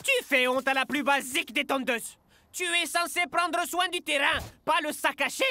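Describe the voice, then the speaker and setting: A man's voice: very high voice, fairly even intonation, normal speed, pushed and bright, dry recording, exaggerated voice. A man voicing a young footballer who scolds a misbehaving robot, exasperated and bossy, in a cartoon dub.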